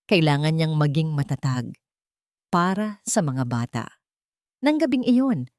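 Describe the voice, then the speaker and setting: Female narrator, Filipino accent, lower register, scripted drama storytelling, dry recording. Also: darkish tone, dry recording.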